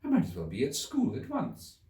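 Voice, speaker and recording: A man's voice: medium pitch, wildly swooping pitch, brisk, gravelly, warm tone, pitched above the performer's own voice. A man voicing a polite, timid, puzzled young girl in a storybook reading, tentative and earnest. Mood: exasperated. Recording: echoey room, distant mic.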